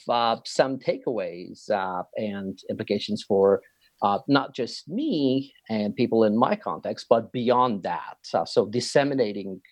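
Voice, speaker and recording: A man who learned English as a second language explains his work as a researcher and educator. His speech is halting and full of uh fillers, and it is recorded dry and close with no room sound. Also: fairly low pitch, no echo. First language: not English. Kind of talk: podcast interview answer.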